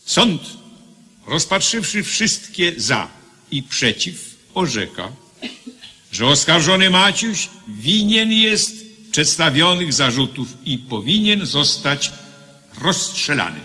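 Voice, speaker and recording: An actor playing a stern, pompous military judge in a radio drama, formal and declamatory. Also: male voice, on the high side, sing-song, slow, bright, forceful tone, heavily acted. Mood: solemn, severe. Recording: long hall reverb.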